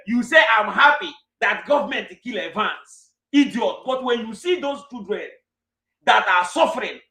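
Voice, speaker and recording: A man's voice: extremely high pitch, bright, forceful tone, echoey room. A man with a Nigerian Igbo accent giving an angry, scolding monologue to his followers. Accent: Nigerian Igbo English. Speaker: self-styled king addressing followers. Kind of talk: fiery monologue.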